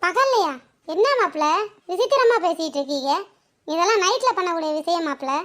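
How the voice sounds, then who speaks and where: A woman's voice: extremely high pitch, lively intonation, fairly quick, fairly bright tone. A woman voicing a fussy, insistent middle-aged mother in a cartoon comedy, with a scolding, pressing manner.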